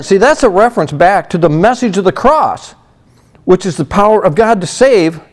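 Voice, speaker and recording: A man's voice: high voice, darkish tone. A middle-aged man teaching a sermon through a Bible passage in a conversational way, leaning on "you know" as he explains. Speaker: pastor.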